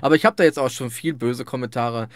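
A man in his thirties hosts a casual podcast interview from a small room, headphones on. He talks very fast and in a rush, tripping over his words and restarting often. The sound is dry and close, with no room echo. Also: mid-range voice. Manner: quick, chatty, trips over his own words and restarts. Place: small indoor room with a chair behind him.

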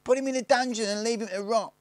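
Shrill, piping little gang boy. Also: fast talker, dry recording. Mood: eager. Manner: piping, excitable, colloquial speech. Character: youngest boy of a gang, small, fierce, piping.

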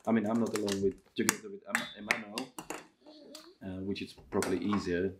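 A man in his thirties with a Russian accent, talking casually in halting English during a seated interview in a costume workshop. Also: lowish voice, steady tone, heavy background noise. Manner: halting non-native English with restarts. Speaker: circus performer and tent master.

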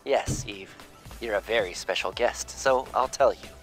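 Casting: curious, courteous game robot, lowish voice, formal and exact wording, light music behind. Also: male voice, a little slow, fairly bright tone. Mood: polite, earnest. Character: polite, curious robot collector of data. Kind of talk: video game cutscene dialogue.

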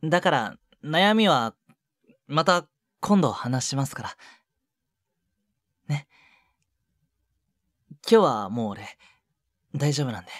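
A man playing a shy junior boyfriend in an intimate ASMR voice drama, speaking softly and hesitantly with breathy murmurs and casual politeness. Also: mid-range voice, dry recording, clean studio sound. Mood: tender, gently firm. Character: shy younger junior boyfriend, awkward at showing affection. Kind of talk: intimate ASMR situation voice drama, one side of a phone call. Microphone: close, dry microphone.

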